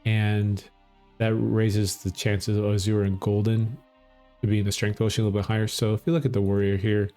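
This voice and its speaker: A middle-aged man with a Minnesota accent, explaining a game in a relaxed, easygoing way. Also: lowish voice, a little fast, soft background music. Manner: relaxed, explanatory, chuckles often. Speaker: gaming channel host. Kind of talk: casual gameplay commentary.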